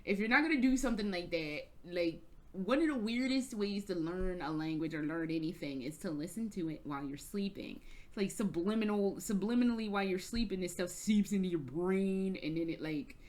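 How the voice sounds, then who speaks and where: A woman's voice: mid-range voice, fairly quick, a little mellow. An American woman telling a rambling, casual story for her vlog, swearing freely and restarting her sentences often. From United States. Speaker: vlogger. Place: indoors, travelling in Germany.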